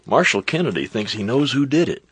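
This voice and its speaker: An actor playing a chatty, folksy livery stable owner in an audio western drama. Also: male voice, mid-range voice, lively intonation, fairly quick, a bit bright, slight background noise, lightly acted. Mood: gossipy. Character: friendly talkative male stable owner. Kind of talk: radio drama acting.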